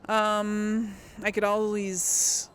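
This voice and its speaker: A woman's voice: mid-range voice, completely flat delivery, drawn-out words, fairly bright tone, slight background noise. A woman giving casual gaming commentary, thinking aloud in a hesitant, musing way and often trailing off. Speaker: let's player hosting a role-play playthrough.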